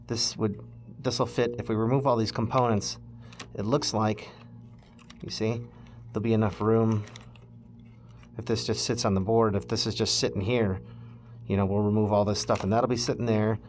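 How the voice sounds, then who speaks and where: A man's voice: lowish voice, fairly even intonation, moderate pace. A man casually walking viewers through a hands-on DIY project, thinking aloud in an informal way as he goes. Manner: informal, thinking aloud while handling parts. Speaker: host of a DIY electronics project video.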